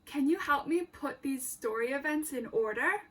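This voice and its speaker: A woman teacher reading a picture book aloud to young children, expressive and emphatic, addressing them directly with questions. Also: high-pitched, moderate pace.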